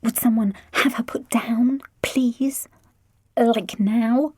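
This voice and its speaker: A woman's voice: very high-pitched, very animated, normal speed, a little mellow, dry recording, studio quality, pitched above the performer's own voice. A woman voicing a vain, dramatic teenage girl who squeals and sulks. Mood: sulky. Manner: squealing, sulky.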